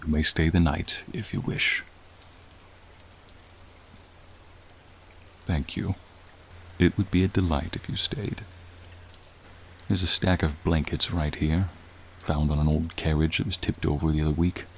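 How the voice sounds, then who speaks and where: A man playing a gentle, wistful, courtly dragon in a soothing ASMR roleplay, speaking formally and tenderly. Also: steady tone. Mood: gentle, protective. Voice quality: low, creaky, soothing. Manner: slow, formal, tender, reflective storytelling. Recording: faint rain noise behind. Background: rain ambience.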